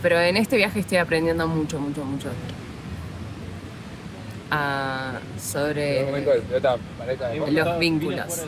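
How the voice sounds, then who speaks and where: A woman's voice: sits low, a little slow, pauses often, noisy background. Thoughtful Argentine woman in her twenties. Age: 20s. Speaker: interviewee. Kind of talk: casual interview answers.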